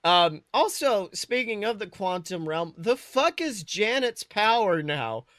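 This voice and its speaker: Woman podcast host riffing on movies, sweary and blunt, jokey, animated, really dry sound. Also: mid-range voice, a little slow, no room sound. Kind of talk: casual film review chat.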